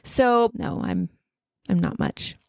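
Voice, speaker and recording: A woman's voice: on the low side, wildly swooping pitch, takes it slowly, vocal fry, dry recording, studio quality. A woman from the United States in her thirties, co-hosting a casual podcast with bubbly, giggly, playful teasing. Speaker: podcast co-host.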